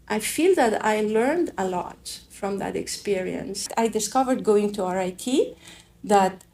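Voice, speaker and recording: Middle-aged woman, french accent, afghan-born, thoughtful and halting, medium pitch. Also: a little mellow. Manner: thoughtful, halting, many restarts. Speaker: interviewee telling her story. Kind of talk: reflective personal testimony.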